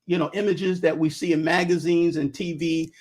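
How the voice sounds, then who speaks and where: A man, speaking a little higher than most men. He talks about marriage as a guest instructor in a casual, halting way, stopping and restarting often with ums. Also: steady tone, darkish tone. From Brooklyn, New York.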